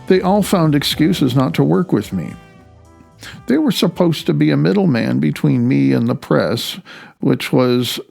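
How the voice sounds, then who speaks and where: A man's voice: mid-range voice, gravelly, darkish tone. A man reading his own nonfiction audiobook in a wry, conversational way. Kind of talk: audiobook narration of personal essay. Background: music.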